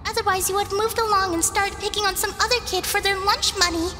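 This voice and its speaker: A very high-pitched, taunting little schoolgirl. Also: steady tone, quick pace, pushed and bright. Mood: scornful, hurt. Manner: mocking, sing-song childish name-calling. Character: taunting little schoolgirl, bratty and scornful, on a stage. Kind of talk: radio play acting. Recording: long hall reverb, music behind.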